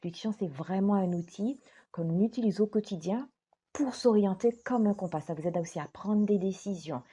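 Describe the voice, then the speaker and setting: A woman life coach speaking straight to her viewers in a gentle, earnest way, stressing her words slowly. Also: mid-range voice, breathy edge, dull audio. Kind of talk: direct-to-camera talk.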